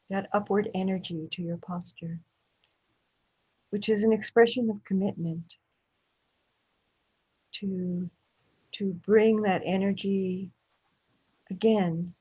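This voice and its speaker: Slow, husky woman guiding meditation, muffled audio. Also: lowish voice. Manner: gentle, unhurried, with long pauses between short phrases. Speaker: meditation teacher. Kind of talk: guided meditation.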